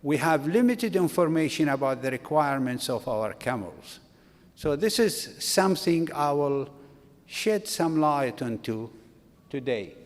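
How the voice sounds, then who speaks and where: A man in his sixties, originally from Iraq, giving a slow, halting academic talk at a conference. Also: on the high side, lively intonation. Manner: slow, halting delivery with restarts. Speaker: conference speaker. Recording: long reverb of a conference hall.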